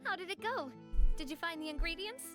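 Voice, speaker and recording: A woman's voice: very high voice, lively intonation, a little fast, music in the background. A woman voicing a cheerful, gracious young noblewoman who leads a gang in a game. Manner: warm, gracious, upbeat.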